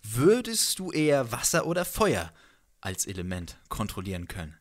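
A man's voice: medium pitch, wildly swooping pitch, moderate pace. A man in his thirties chats casually as an interview host in a jokey, informal way, talking into a handheld microphone. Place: small music room indoors.

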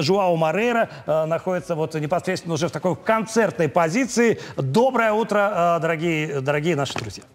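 Brief: middle-aged man, high voice, formal breakfast-TV host, hesitant fillers, studio lapel sound. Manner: measured, frequent filler sounds while reading. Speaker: morning show host. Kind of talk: formal television presenting. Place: bright television studio, indoors.

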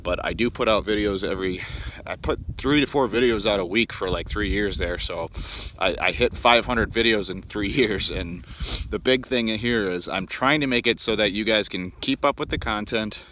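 A man's voice: on the low side, normal speed, sharp tone, slight background noise, low-quality recording. A man in his thirties from Wisconsin, talking casually to viewers from a phone held at arm's length outdoors on snow, rambling with many ums and restarts. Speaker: outdoors channel host. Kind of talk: casual vlog walkaround. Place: outdoors on snowy frozen lake.

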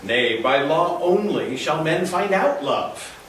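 A man playing a stern, solemn churchman in a dramatic reading of a verse play, with measured, formal declamation. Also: on the high side, lively intonation, a bit bright. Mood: solemn, insistent. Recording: echoey conference room, distant, faint noise.

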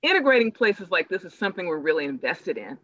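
A woman's voice: lowish voice, big pitch swings, fast, a bit bright, highs cut off. A woman playing an earnest, forward church organizer in an online play reading over a video call. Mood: friendly, forward. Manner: eager, proselytizing. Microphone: video call microphone.

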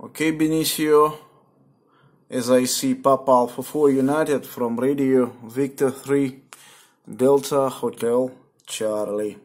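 A man from Moscow with a Russian accent, speaking haltingly as an amateur radio operator and spelling out call signs in the phonetic alphabet. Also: medium pitch, steady tone, a little mellow. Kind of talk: ham radio contact chat, on-air call signs. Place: indoors at a computer.

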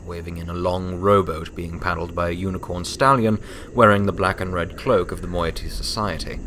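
Low-pitched male story narrator. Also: a bit bright, slight background noise.

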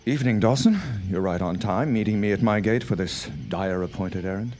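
An actor playing an earnest, trusting 1910s flower grower in a radio drama, with formal, old-fashioned phrasing. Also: male voice, lowish voice, brisk, darkish tone, a little hiss or hum, close to an everyday voice. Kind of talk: period radio drama acting.

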